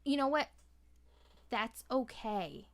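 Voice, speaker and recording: A woman's voice: a little high, animated, takes it slowly, over a noisy crowd. A woman chatting casually and giving her opinions as a podcast guest, informal and free with swearing.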